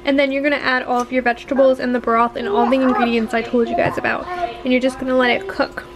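A woman's voice: high-pitched, quick pace. A young woman chatting casually to viewers as she talks through family recipes in a voice-over. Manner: chatty, talking straight to viewers with fillers. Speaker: family vlogger.

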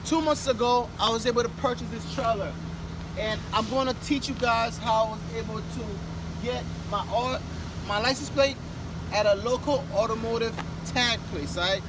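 A man's voice: extremely high pitch, moderate pace, noisy background. A man in his thirties, a trucker vlogger, casually explaining a process to his viewers from the cab of his parked truck. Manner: relaxed, conversational, addresses viewers directly. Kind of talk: casual how-to vlog.

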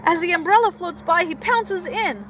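A woman preschool teacher reading a picture book aloud to young children in an animated, expressive way, with chatty asides. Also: very high-pitched, normal speed, slight background noise.

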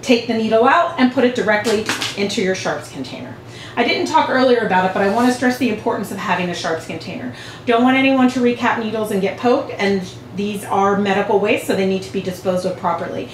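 A woman's voice: mid-range voice, animated, fast talker. A woman in her forties, a veterinarian, patiently explaining a procedure step by step in a clinic exam room, recorded from a camera across the room. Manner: clear, patient explaining with hand gestures. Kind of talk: instructional demonstration. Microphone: far camera. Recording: echoey clinic room with faint hum.

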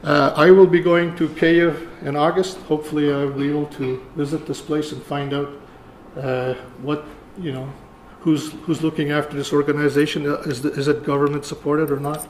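An older man, around seventy, giving a conference lecture in a halting, deliberate way. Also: a little high, level tone. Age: older, about 70. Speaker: keynote speaker, archivist. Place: lecture room, indoors. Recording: roomy echo, faint room noise.

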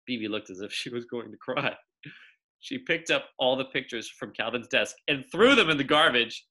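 A young man reading a children's story aloud as a teacher, with expressive, emphatic delivery and drawn-out key words. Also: mid-range voice, low-quality recording. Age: young adult.

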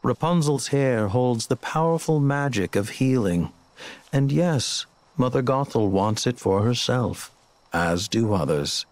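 An actor playing a smug, coolly menacing villain in a video game, speaking in a measured, condescending, theatrical way. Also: male voice, medium pitch, expressive, darkish tone, dry recording, lightly acted. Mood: smug, calm. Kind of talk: scripted video game cutscene acting.

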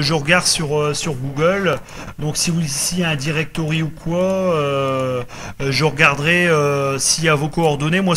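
A man with a bright, forceful, fairly high voice talks casually over amateur radio. He repeats small reassuring phrases as he goes, with some background noise on the recording. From northern France. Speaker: radio operator making contacts.

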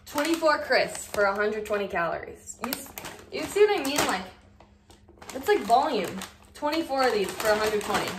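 A woman's voice: fairly high pitch, big pitch swings, normal speed, roomy sound, background noise. A young woman in her twenties chatting casually through a grocery haul vlog in her home kitchen, filler-heavy and friendly with the camera. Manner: chatty, lots of "like", showing items to camera. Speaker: lifestyle vlogger.

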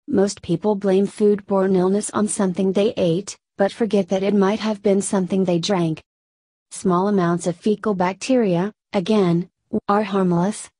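A woman reading a news article aloud in a steady, scripted delivery. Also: mid-range voice, no room sound. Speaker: news reader.

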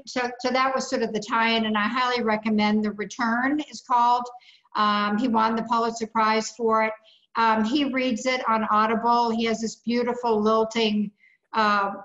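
A woman sharing a long book recommendation on a video call, halting and full of fillers. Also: fairly high pitch, fairly bright tone. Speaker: audience member commenting. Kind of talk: casual comment on a video call. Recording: echoey room over dull lo-fi call.

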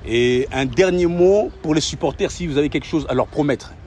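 A man's voice: a little high, brisk, darkish tone, recorded from a distance, slight background noise. A young man asking polite, formal interview questions as the interviewer, speaking outdoors. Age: young adult. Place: outdoors by a hotel pool.

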